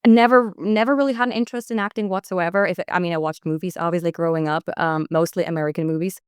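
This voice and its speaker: A woman in her thirties from Switzerland, an actress talking as a guest in a podcast interview, upbeat and quick to laugh. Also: lowish voice, steady tone, smooth, no room sound, clean studio sound. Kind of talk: casual interview talk.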